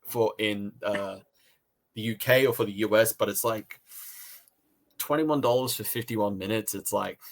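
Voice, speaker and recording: Low-voiced man in casual review chat. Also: unhurried. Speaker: podcast co-host reviewing audio dramas.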